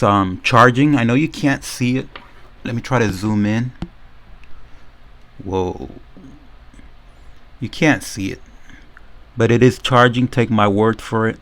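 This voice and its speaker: A man's voice: mid-range voice, slow, long pauses, fairly bright tone. A man giving a casual, unscripted hands-on demonstration as a product reviewer, narrating each step and often repeating himself.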